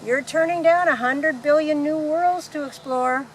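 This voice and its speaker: A woman's voice: high voice, unhurried. A woman acting a breezy, unflappable space guidebook researcher in a radio comedy play, explaining things casually. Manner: casual, matter-of-fact explaining. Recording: noisy background ambience.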